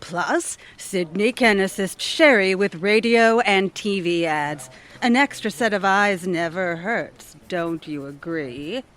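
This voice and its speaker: Slow, imperious actress as a scheming female boss. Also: mid-range voice, fairly bright tone. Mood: snide. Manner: sarcastic, cutting, imperious. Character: domineering, scheming, sarcastic female office boss. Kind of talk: audio theatre comedy acting.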